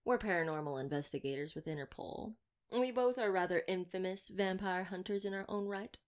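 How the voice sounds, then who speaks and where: A woman's voice: medium pitch, brisk, natural voice. A woman playing a chatty, flirtatious, theatrical vampire hunter in an audio roleplay, wordy, teasing and mock-grand. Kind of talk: ASMR roleplay audio drama addressed to listener.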